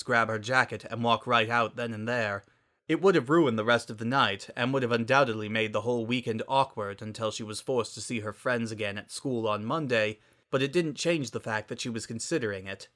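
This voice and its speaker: A man's voice: mid-range voice, a bit bright. A man reading scripted fiction aloud as a dramatic narrator.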